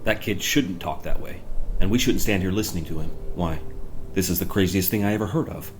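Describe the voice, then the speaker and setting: A man playing a gruff, dismissive suburban neighbour in a radio play. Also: sits low, fairly quick, a little hiss or hum, lightly acted. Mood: disapproving.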